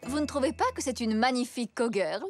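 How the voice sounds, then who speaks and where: A woman's voice: on the high side, brisk, natural voice. A woman voicing a proud, gushing, haughty zebra mother in a French children's cartoon. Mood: gushing, indignant. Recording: music behind.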